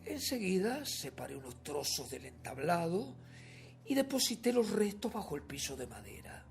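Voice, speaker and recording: A Uruguayan actor playing a nervous, obsessive murderer who insists he is sane, in a theatrical, drawn-out radio drama reading that swings between hushed and forceful. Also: on the high side, very animated, vocal fry, a little hiss or hum. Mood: smug, controlled. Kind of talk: dramatic first-person horror story reading, radio theatre acting.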